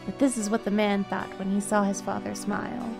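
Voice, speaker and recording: A woman's voice: mid-range voice, fairly even intonation, a little fast, gravelly, music in the background, close to an everyday voice. A woman voicing a reflective, wistful storyteller in a video game.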